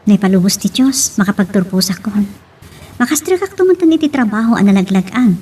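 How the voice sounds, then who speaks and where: A woman's voice: medium pitch, expressive, brisk, warm tone, husky. A woman playing a proud, hardworking young student wary of her suitor, in an Ilocano-language radio drama. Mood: firm, guarded.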